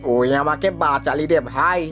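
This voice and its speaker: A man voicing a competitive, boastful village farmer in an animated moral tale. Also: fairly high pitch, moderate pace, soft background music. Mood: regretful.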